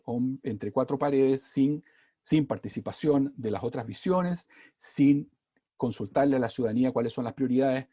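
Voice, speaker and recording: Fast-talking Chilean man, dark dry voice. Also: medium pitch, husky, no echo. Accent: Chilean Spanish. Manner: rapid and animated, with many "eh" fillers. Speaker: panelist at a justice seminar. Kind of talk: fast-paced seminar talk.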